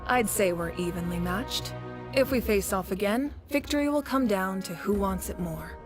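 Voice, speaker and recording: A woman acting as a battle-proven female leader from a video game, her voice sitting at a middle pitch. She sounds dignified and dutiful, with firm, decisive, formal phrasing. Music is clearly heard behind her. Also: expressive, normal speed, lightly acted. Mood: composed, respectful.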